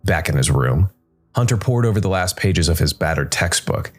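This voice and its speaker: A man narrating a scripted dark fantasy story in a measured way, with dramatic pauses. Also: deep voice, animated. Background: background music.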